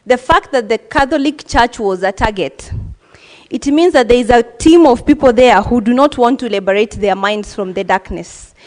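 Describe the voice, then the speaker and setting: A woman's voice: a little high, a little mellow. Earnest woman senator, echoing chamber. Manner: earnest, with frequent hesitations. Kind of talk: formal parliamentary speech. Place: legislative chamber.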